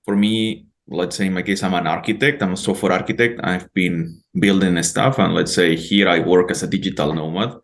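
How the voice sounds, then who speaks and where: A young man from El Salvador talks as a guest in a casual podcast interview. His voice is low, and his speech is measured. He often softens his points with "let's say" and "I would say". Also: steady tone.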